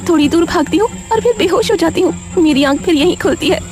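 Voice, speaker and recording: Woman voicing a very high-pitched frightened young girl. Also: animated, fast talker, a little mellow. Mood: sorrowful. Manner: anxious, earnest warning. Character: frightened, pleading young captive village girl. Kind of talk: Hindi cartoon storytelling.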